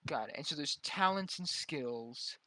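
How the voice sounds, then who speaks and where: A man's voice: a little high, expressive, unhurried, sharp tone, no room sound, poor audio. A man playing a tabletop game, asking casual questions about the rules in a hesitant, stammering way.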